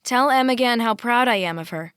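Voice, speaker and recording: A woman voicing a sweet, gentle middle-aged mother who speaks softly and reassuringly. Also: on the high side, a little fast, clean tone, no echo, studio quality, pitched above the performer's own voice, natural voice. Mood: warm. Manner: soft, reassuring, apologetic.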